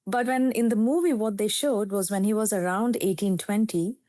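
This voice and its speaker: A woman in her fifties with an Indian English accent, her first language Telugu, speaks as a psychiatrist guest. She tells her story in an earnest, reflective way. Her voice sits at a middling pitch and sounds dry and close, with no room echo. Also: normal speed, warm tone. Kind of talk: storytelling in a podcast interview.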